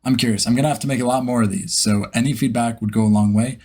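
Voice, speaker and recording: A man hosts a casual vlog in an average-pitched voice with a husky edge. He thinks aloud informally and pokes fun at himself as he goes. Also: fairly even intonation, fast, darkish tone. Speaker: host, beginner voice actor. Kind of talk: casual vlog chat to camera.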